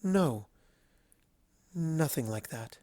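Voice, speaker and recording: A performer voicing an aloof, wry female alicorn princess in a storybook reading, cool, measured and regal. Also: medium pitch, expressive, mellow, no room sound, pitched above the performer's own voice. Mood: reserved, weary.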